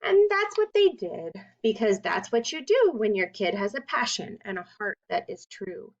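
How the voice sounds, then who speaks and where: A woman reading a children's picture book aloud in an expressive, deliberate way with dramatic emphasis. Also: medium pitch, highs cut off. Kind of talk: read-aloud children's storytelling.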